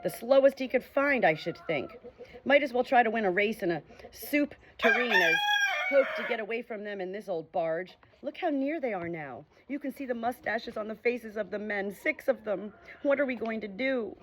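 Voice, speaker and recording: A woman's voice: fairly high pitch, animated, normal speed, noisy background, pitched above the performer's own voice, lightly acted. A woman voicing a grumbling, complaining male pig in a storybook reading.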